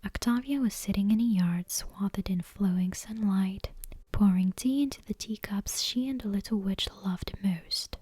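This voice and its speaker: Female ASMR storyteller, very breathy whisper with a soft mellow tone, gentle scripted bedtime narration. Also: mid-range voice, measured pace, dry recording. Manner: gentle, careful, hushed read-aloud delivery.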